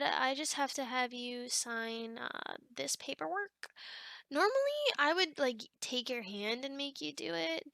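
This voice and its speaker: High, creaky chatty demon girl, played by a woman. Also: pushed and bright, dry recording, heavily acted. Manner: casual, rambling, playfully critical. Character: chatty, fussy young female sleep paralysis demon. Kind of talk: ASMR roleplay, addressing the listener.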